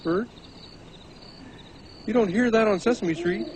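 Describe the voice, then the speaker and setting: A man's voice: high voice, unhurried, darkish tone, a little hiss or hum. A man voicing a chatty, eager, pestering puppet camper in a comedy sketch, playful and full of questions. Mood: eager, curious.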